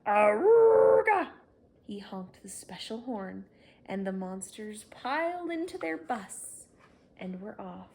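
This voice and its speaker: A woman's voice: mid-range voice, takes it slowly, vocal fry. A woman reading a children's story aloud, narrating expressively and without hurry. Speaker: storybook reader.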